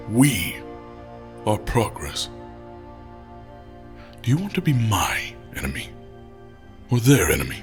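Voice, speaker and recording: A man playing a grave, resolute leader of outcasts in an audio drama, speaking in deliberate, solemn oratory. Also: lowish voice. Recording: dramatic music behind the voice.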